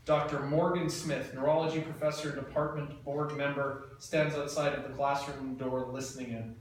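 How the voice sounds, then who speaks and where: A man's voice: on the high side, flat delivery, moderate pace. A man reading screenplay scene directions aloud at a table read, plain and matter-of-fact. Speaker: narrator. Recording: echoey room, mic far off, faint noise.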